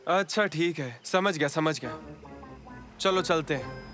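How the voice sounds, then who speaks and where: A man voicing an impatient, self-important, studious older boy in an animated children's comedy. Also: fairly high pitch, unhurried, music in the background. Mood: grudging.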